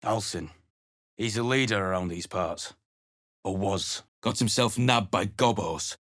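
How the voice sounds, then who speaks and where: An actor playing a surly, battle-worn mercenary adventurer in a video game, speaking in a gruff, blunt, dismissive way. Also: male voice, fairly low pitch, measured pace, fairly bright tone, no room sound, studio quality.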